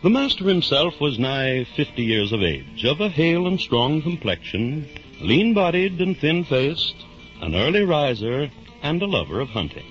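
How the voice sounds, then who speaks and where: Animated male old-time radio narrator. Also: a little high, normal speed, soft background music. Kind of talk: storybook narration, 1950s radio drama. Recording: dull vintage radio recording.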